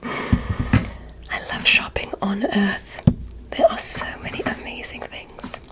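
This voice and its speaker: A woman playing a curious, gently condescending alien medical examiner in an ASMR roleplay, speaking in a soft, breathy, measured whisper with clinical instructions. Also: lightly acted. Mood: calm, clinical. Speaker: ASMR performer.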